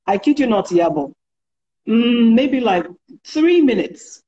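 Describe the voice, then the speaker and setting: Woman with a deep dark voice, chatting as a guest, confident and amused. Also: sing-song, slow, highs cut off. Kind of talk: casual storytelling in an interview.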